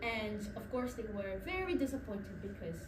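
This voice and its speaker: A young Brazilian academic woman gives a conference presentation with a Brazilian accent touched by New Zealand vowels. Her voice sits a little high, and she often pauses on ums and restarts as she tells her story. She is picked up from across an echoey room, with noise in the background. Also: big pitch swings, far from the mic. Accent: Brazilian with a New Zealand tinge. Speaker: academic speaker. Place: lecture room.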